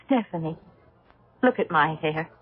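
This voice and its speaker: Slow, yearning motherly woman, husky. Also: low voice, lively intonation, exaggerated voice. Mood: pleading, yearning. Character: lonely childless woman, yearning, eerily motherly. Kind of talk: 1970s radio drama acting. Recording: muffled, band-limited vintage radio recording.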